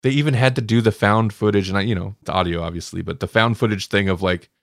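Low-voiced man chatting casually, close and dry. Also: fast, vocal fry, no room sound. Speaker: podcast co-host. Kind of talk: casual review chat.

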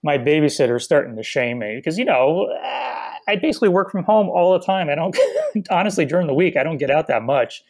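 Casual man co-host with very lively pitch. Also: fairly quick. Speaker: podcast co-host. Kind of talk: casual chat.

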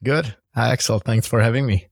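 A man's voice: medium pitch, fairly quick, vocal fry, no echo, clean studio sound, soft background music. A middle-aged man with a Czech accent giving conversational, explanatory interview answers as a company founder. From Czech Republic. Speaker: startup founder and CEO, interview guest.

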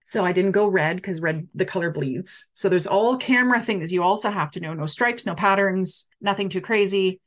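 A middle-aged woman hosts a casual live stream, talking straight to her viewers in a chatty, animated way. Her voice sits at an ordinary middle pitch, and she reads out their comments as she goes. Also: moderate pace, hardly any pauses. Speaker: coach hosting a live stream.

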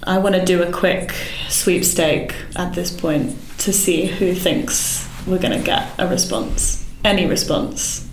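A woman on a podcast team chats in an easygoing way in a meeting. Her voice sits slightly low. The room is echoey, with a faint hiss in the background. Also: fairly even intonation, unhurried, few pauses. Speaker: podcast production team member.

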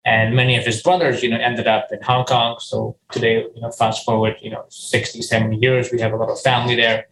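A man's voice: medium pitch, level tone, a little fast, reverberant, noisy background. A man from Ghana, a company founder, answering interview questions earnestly, often restarting his sentences and saying "you know".

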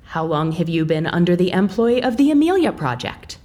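An actress playing a flustered, unsure agent questioning a prisoner in an audio drama, hesitant and stammering. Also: female voice, lowish voice, sing-song, moderate pace, dark tone. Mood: stern.

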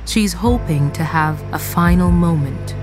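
A woman narrating a radio drama as a calm, solemn storyteller, measured and deliberate in scripted delivery. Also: on the low side, music in the background, lightly acted. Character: calm, solemn female storyteller setting scenes.

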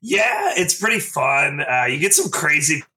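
A man's voice: fairly high pitch, wildly swooping pitch, measured pace, creaky, pushed and bright. A man chatting casually as a bartender guest on a podcast. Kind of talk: casual chat.

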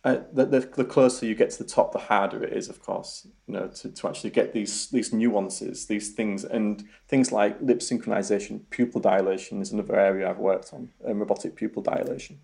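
A man's voice: on the low side, fairly even intonation, a little fast, vocal fry, hardly any pauses, dark tone. A young man with a Yorkshire accent, a researcher answering interview questions in a hesitant way, full of ums and repeated words. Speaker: robotics researcher guest.

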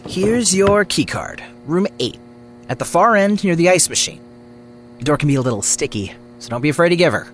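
A man's voice: a little high, animated, a little fast, a little hiss or hum, natural voice. A man playing a laid-back motel desk clerk in an audio drama. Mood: casual, helpful.

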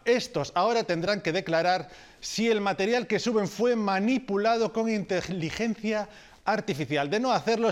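A man anchoring a news show, presenting stories and handing over to colleagues. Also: high-pitched. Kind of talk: news presenting with co-host banter.

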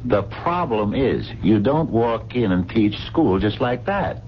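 An actor in a radio drama playing a blunt, protective, skeptical friend with an exasperated, streetwise manner. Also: male voice, lowish voice, sing-song, takes it slowly. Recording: dull, noisy 1970s radio recording.

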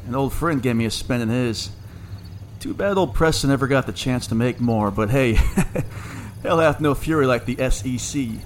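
An actor playing a smug, folksy dark man with quiet menace in an audio drama. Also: medium pitch, normal speed, close to an everyday voice. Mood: smug, chatty. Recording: faint background noise.

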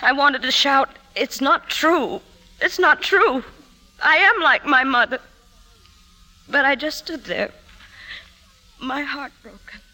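An actress playing a troubled woman confessing painful memories in an emotive, halting way, in 1950s radio drama style. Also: high voice, lively intonation, sharp tone, heavily acted, rough recording. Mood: hurt, remembering. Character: troubled career woman confiding painful childhood memories to her doctor. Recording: 1950s radio broadcast, music behind.

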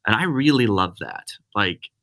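Bright-voiced rambling male pastor. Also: lowish voice, expressive, a little slow, creaky. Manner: rambling, self-interrupting, frequent restarts. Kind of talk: casual podcast conversation.

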